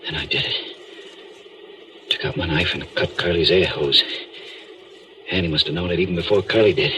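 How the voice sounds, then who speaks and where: Actor as deep-voiced, brooding murderous diver. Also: male voice, fairly even intonation, noisy background, lightly acted. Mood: brooding confession. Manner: brooding, deliberate, confessional. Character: guilt-haunted murderous deep-sea diver recounting his crime. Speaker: lead actor and narrator. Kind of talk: old-time radio thriller acting. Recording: vintage radio recording with music.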